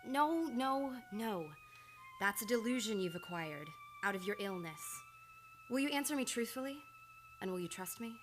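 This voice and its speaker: A woman's voice: a little high, lively intonation, normal speed, over music, lightly acted. An actress playing a composed, probing female doctor in an old-time radio drama, speaking firmly and reassuringly. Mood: earnest, probing. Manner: firm, reassuring, questioning. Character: composed, probing female doctor named Constance calming a patient.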